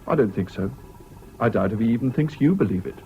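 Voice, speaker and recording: An actor playing a level-headed, concerned British officer in a 1970s radio drama, measured and practical in manner. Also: male voice, mid-range voice, expressive, mellow, close to an everyday voice. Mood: matter-of-fact. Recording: muffled old radio recording with noise.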